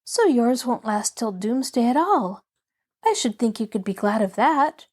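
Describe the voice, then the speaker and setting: Woman voicing an eager, high-pitched chattering little girl. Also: big pitch swings, measured pace, a little mellow. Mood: earnest. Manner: eager, rambling, artless. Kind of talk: children's storybook character voice.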